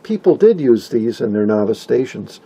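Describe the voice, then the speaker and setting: An older man narrating informally from a script, with a husky, gravelly voice and wry, folksy asides. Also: mid-range voice, sing-song, moderate pace, mellow. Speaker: hobbyist video narrator. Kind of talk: informal scripted narration about vintage radios.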